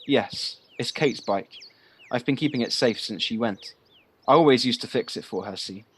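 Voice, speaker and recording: A man's voice: medium pitch, normal speed, lightly acted. A man acting a frank, earnest boyish village lad in an audio play, recorded at home. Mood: earnest, chatty. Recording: home recording on personal device, faint noise.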